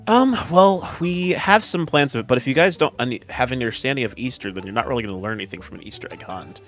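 A man playing a patient robot professor who explains things haltingly to a class in a Minecraft roleplay. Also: medium pitch, expressive, soft background music, natural voice. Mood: patient, instructing.

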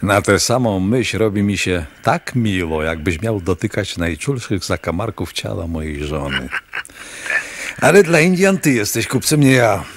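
A man's voice: on the low side, lively intonation, unhurried. An actor playing a menacing, lecherous, domineering man in a radio drama, on a faintly noisy recording. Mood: threatening. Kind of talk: Polish radio drama acting.

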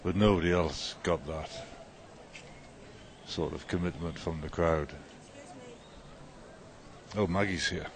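A man hosting a casual podcast, talking in a relaxed, rambling way with many fillers, recorded outdoors on a handheld recorder. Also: low-pitched, takes it slowly, long pauses, noisy background. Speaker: podcast host. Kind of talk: casual chat. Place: outdoors at a festival.

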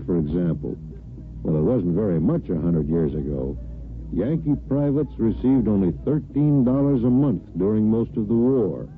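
Low-voiced American announcer, tinny radio sound. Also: male voice. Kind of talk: scripted public service announcement. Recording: tinny radio broadcast recording.

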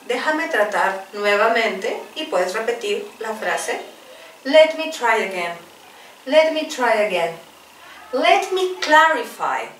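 A woman in her forties with a Spanish accent, teaching English phrases at a whiteboard and repeating each one slowly and clearly. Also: a little high, fairly bright tone, a little hiss or hum. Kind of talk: bilingual English lesson, drilling phrases. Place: small classroom in front of a whiteboard. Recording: roomy, distant camera audio.

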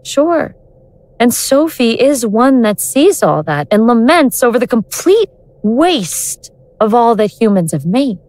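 A woman playing an awakened ancient goddess in an audio drama, speaking in a measured, sardonic, grandiose way with cold contempt. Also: fairly high pitch, big pitch swings, darkish tone, no echo. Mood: cold, lecturing.

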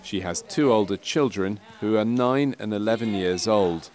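A man narrating a medical training film from a script, in a measured, clinical and factual way. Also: on the low side, darkish tone. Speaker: documentary narrator.

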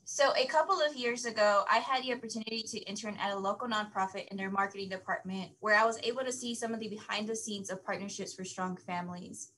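A woman's voice: medium pitch, fairly even intonation, quick pace, few pauses, bright, forceful tone, low-quality recording. A young woman hosts a career panel over a webcam, reading introductions in a friendly way. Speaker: host. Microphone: video call webcam.

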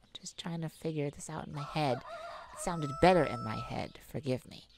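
A woman's voice: low-pitched, normal speed, creaky. A woman playing a kind, anxious mage in an ASMR audio roleplay, talking hesitantly and intimately to a childhood friend. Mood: worried, tender. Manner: hesitant, stammering, soft-hearted worrier.

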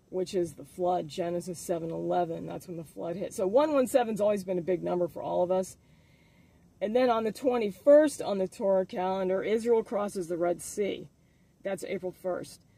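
A man speaking casually as the host of an informal religious video update, halting as he thinks aloud. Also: sits high, creaky, mellow, husky.

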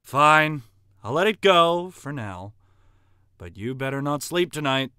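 A man's voice: on the high side, lively intonation, unhurried, bright, forceful tone, clear, resonant tone, dry recording, clean studio sound. A man acting an easily flustered, put-upon young teacher in an amateur anime-style drama. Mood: weary.

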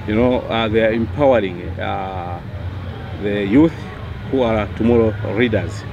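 A middle-aged man giving an outdoor interview, pausing between short phrases. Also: lowish voice, muffled recording, background noise. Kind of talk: documentary interview. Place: outdoors in a yard. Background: music.

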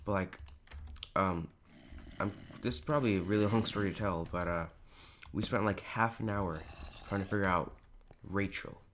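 A teenage boy with a raspy, hoarse voice, rambling casually over a Minecraft game with lots of fillers. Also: low-pitched, normal speed, pauses often, fairly bright tone, a little hiss or hum. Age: teens. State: hoarse, clearing throat. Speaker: gaming YouTuber. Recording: home recording with clear keyboard clicks.

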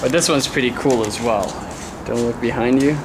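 A man's voice: mid-range voice, normal speed. A young man vlogging casually with a camera held at arm's length, joking and teasing himself as he talks. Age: young adult. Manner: joking, playful, self-mocking. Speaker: vlogger, team member. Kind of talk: casual handheld vlog chat. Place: outdoors by an old building, later indoors. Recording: noisy outdoor handheld audio.

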